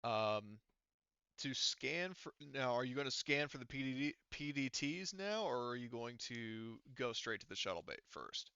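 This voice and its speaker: Gravelly man, crisp and dry. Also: medium pitch, lively intonation, measured pace, sharp tone, no room sound, dull audio. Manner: explanatory, thinking aloud. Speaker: game master. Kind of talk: casual tabletop game session talk.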